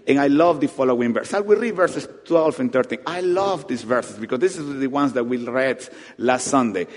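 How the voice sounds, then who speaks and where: Animated preacher in a reverberant hall. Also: male voice, mid-range voice. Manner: animated preaching with rising emphatic peaks and soft asides. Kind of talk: sermon. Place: church hall. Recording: long reverb of a church hall.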